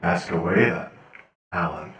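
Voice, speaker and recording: A man voicing a captive masked cyborg monster in a game roleplay, calm, menacing and terse. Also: deep voice, unhurried, background noise. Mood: cold, taunting. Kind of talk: improvised online game roleplay. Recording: echoing, distant-sounding voice.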